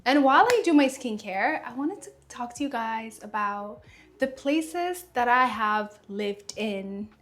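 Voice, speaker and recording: A woman's voice: on the high side, animated, a little slow, a bit bright. A woman in her thirties from Ethiopia, chatting casually to camera at home while doing her skincare, rambling and correcting herself as she goes. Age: 30s. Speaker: lifestyle vlogger.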